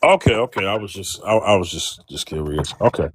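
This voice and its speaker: A man's voice: low voice, a little fast, slight background noise. A man hosting a live relationship talk stream, chatting casually and teasing his guests playfully. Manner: playful, teasing, lively delivery. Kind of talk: casual chat.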